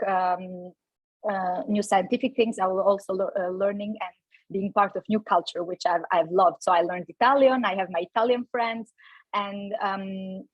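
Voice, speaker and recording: A woman's voice: mid-range voice, no room sound, muffled recording. A young woman from Albania, giving a personal talk at a company event, with many fillers and restarts. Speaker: invited speaker.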